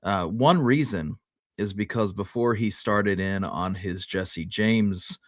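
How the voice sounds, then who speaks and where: Low-voiced man, halting conversational historian. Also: dry recording, studio quality. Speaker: history show co-host. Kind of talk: casual storytelling discussion.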